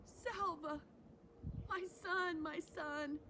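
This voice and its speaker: A woman voicing a frail older father in a storybook, halting and polite. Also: very high-pitched, steady tone, bright, forceful tone, slight background noise, pitched above the performer's own voice, heavily acted. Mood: stunned, overjoyed. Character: frail, disbelieving older father in a clinic bed.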